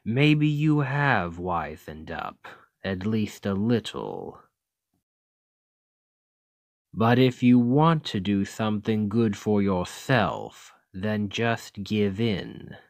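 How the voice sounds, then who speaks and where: A man voicing a smug, domineering demon king in an ASMR roleplay, speaking in a deliberate, condescending and coaxing way. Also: lowish voice, big pitch swings, dry recording, studio quality, full character voice. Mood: smug, persuasive. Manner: slow, deliberate, condescending, seductive menace. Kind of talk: scripted ASMR roleplay monologue.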